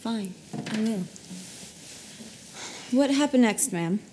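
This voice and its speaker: A man playing a calm police officer who answers in flat, unhurried retorts. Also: high-pitched, a little hiss or hum. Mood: dry.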